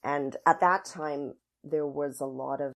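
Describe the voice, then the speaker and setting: A woman's voice: low-pitched, steady tone, measured pace, vocal fry, a bit bright. A middle-aged woman explaining things conversationally as an interview guest, thoughtful and searching for her words. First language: English. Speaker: podcast guest, dance academy artistic director.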